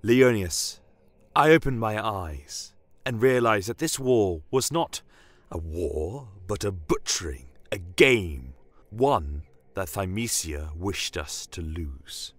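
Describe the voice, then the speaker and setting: A man playing a solemn, defiant horned army commander, telling a dramatic fantasy story in a grave, measured manner. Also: on the low side, animated, no room sound. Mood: grave, haunted. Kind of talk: dramatic first-person fantasy audio story.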